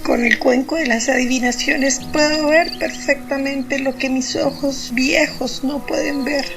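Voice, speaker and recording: A woman playing a gentle, affectionate old grandmother, a village fortune-teller, in an audio drama. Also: sits high, fairly even intonation, music in the background. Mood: wondering. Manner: slow, affectionate, musing.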